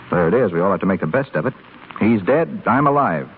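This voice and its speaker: Wisecracking rich young man, low-pitched with swooping delivery, vintage radio play. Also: lively intonation, normal speed. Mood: bitter, sardonic. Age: young adult. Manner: wisecracking, sardonic. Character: brash, flippant, restless young rich male hospital patient. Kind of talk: 1930s radio drama acting. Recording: scratchy, tinny 1930s radio broadcast recording.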